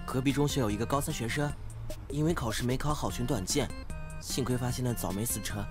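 A young man acting an earnest, polite, slightly naive studious teenage boy in an audio drama, gentle and encouraging. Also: mid-range voice, brisk, lightly acted. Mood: worried. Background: background music.

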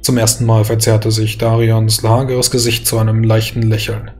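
A man's voice: lowish voice, monotone, normal speed, a little mellow, faint music behind. A man reading a scripted, dramatic fantasy story as its narrator.

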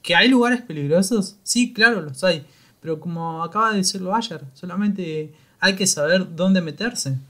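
A man hosts a travel podcast in an easy conversational tone, often restarting his phrases. His voice sits a little high for a man. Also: normal speed, fairly bright tone. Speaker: podcast host. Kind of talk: casual podcast interview.

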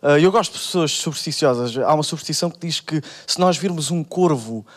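Young European Portuguese stand-up comic. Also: male voice, a little high, a little fast. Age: young adult. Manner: acts out quoted characters in shifted voices. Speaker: stand-up comedian. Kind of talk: stand-up routine. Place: TV studio stage. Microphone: handheld mic.

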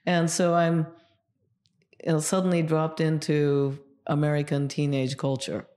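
A middle-aged woman, a native Japanese speaker from Japan, telling stories from her past in a relaxed interview, with many "yeah"s. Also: low voice, a little slow. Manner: reflective storyteller, lots of yeah fillers. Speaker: guest, sex educator. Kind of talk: casual interview conversation.

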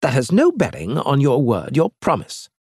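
A man voicing a cunning, fussy alien male trader, raising his voice high and indignant. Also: huge pitch swings, normal speed, a little mellow, slightly rough, dry recording, clean studio sound. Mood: wheedling.

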